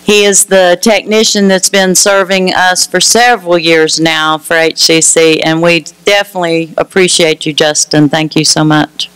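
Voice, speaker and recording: A middle-aged woman, a lead teacher with a naturally loud voice, giving a ceremony speech in an auditorium with a warm, chatty way of addressing the audience. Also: on the low side, steady tone, normal speed, hardly any pauses, smooth, no echo, poor audio.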